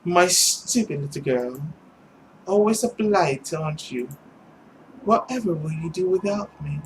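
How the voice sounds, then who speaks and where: A man's voice: fairly high pitch, expressive, a little slow, slight background noise, low-quality recording. A man voicing a controlling, smothering mother from a visual novel, stern and cooing with condescension. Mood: belittling. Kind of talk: visual novel read-aloud character voice.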